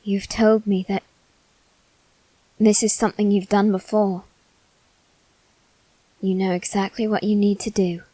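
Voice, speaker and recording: A woman voicing a melancholy teenage noble boy, resigned to death, in a soft, deliberate ASMR roleplay monologue with dramatic pauses. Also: mid-range voice, level tone, no room sound, natural voice, poor audio. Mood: pleading, regretful.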